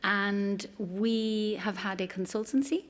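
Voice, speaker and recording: Mid-pitched woman speaking on a panel. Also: fairly even intonation, slow. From Malta. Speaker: panel participant, institution delegate. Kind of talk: informal panel talk.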